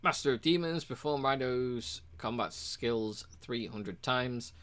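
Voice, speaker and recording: A man casually walking through a game's achievements, relaxed and rambling, with frequent ums and uhs. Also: mid-range voice, slow pace, clean tone. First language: English. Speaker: game reviewer.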